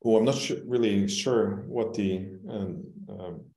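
A man with a low, soft-edged mellow voice, speaking English as a second language. He explains technical points in a halting, word-searching way, as a webinar presenter on a roomy, echoing call. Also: creaky. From non-English-speaking country, long resident in Canada. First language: not English. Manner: hesitant, halting, searching for words. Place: indoors, on a video call.

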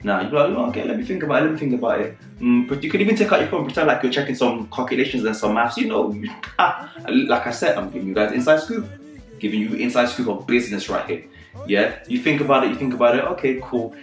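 A man's voice: mid-range voice, fast talker, recorded from a distance, faint music behind. Guy in his 30s doing a chatty vlog, talks with his hands, repeats stuff a lot, roomy echo, kinda off mic. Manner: animated, gestures a lot, repeats phrases for emphasis. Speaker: property channel host. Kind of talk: casual advice vlog to camera.

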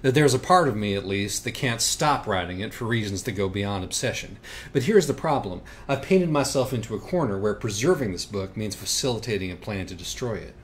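A man's voice: fairly low pitch, animated, a little fast, slight background noise, close to an everyday voice. A man playing an anguished, self-absorbed young writer in audiobook dialogue, wordy and sardonic, circling through evasive self-questioning. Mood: brooding.

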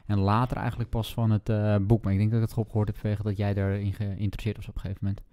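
A man's voice: lowish voice, level tone, very fast, warm tone, dry recording, clean studio sound. A man with a Netherlands Dutch accent chatting casually as podcast co-host, playful and rambling, often searching for the word. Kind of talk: casual co-hosted chat.

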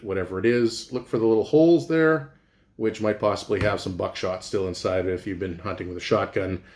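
A middle-aged man, a chef giving an informal, folksy cooking demonstration and explaining as he goes. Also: on the low side. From Canada. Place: small indoor room with hard walls. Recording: echoey room.

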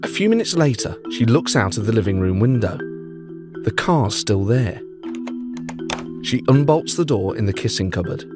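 Male podcast host, low-pitched, measured scripted narration with dry wit. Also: big pitch swings, music in the background. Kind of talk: scripted storytelling narration with banter.

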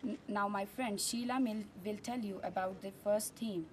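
A woman's voice: mid-range voice, fairly even intonation, moderate pace, slight background noise. A teenage girl presenting her school project outdoors, in an earnest, memorized delivery. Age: teens. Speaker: student presenter. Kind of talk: recited presentation. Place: outdoors on a mountain terrace.

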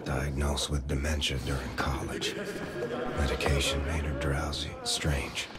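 An actor playing a gruff, weary American soldier hero in a video game, muttering in a hushed, breathy rumble. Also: male voice, level tone, measured pace, over loud music. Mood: brooding. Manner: muttering, brooding. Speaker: game protagonist. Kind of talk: dramatic video game acting.

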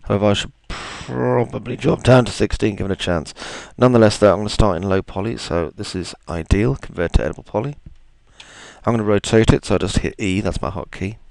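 A man with a gravelly, deep voice, chatting his way through a software tutorial with plenty of ums and asides. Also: a little fast, no echo. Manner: chatty, self-deprecating, thinks aloud with ums. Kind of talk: screen-recorded software tutorial.

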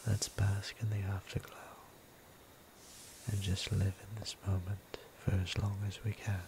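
A man playing a gentle, seductive vampire priest in an ASMR roleplay, whispering breathily with soothing, intimate, formal endearments. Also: lowish voice, flat delivery, a little slow. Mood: tender, proud. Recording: noticeable ambient background noise.

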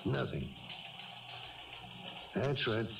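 Weary, clipped police lieutenant, American, gravelly, lively intonation; old radio drama. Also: male voice, medium pitch, extremely slow, exaggerated voice. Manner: clipped, questioning, businesslike. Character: weary, matter-of-fact police lieutenant on a burglary case. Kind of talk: 1970s radio drama acting. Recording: dull, noisy 1970s radio recording.

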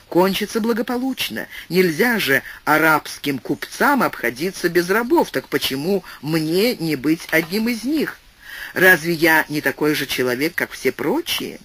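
A man voicing a wise, patient young nobleman who speaks in calm, reasoned pleas, read within a storybook. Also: fairly high pitch, a little slow, breathy edge, exaggerated voice. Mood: reflective.

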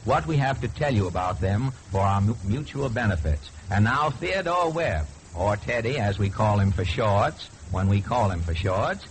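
A man's voice: on the low side, animated, normal speed, hardly any pauses. A man hosting a radio show with scripted, wry 1930s-style patter. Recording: lightly noisy 1930s radio recording.

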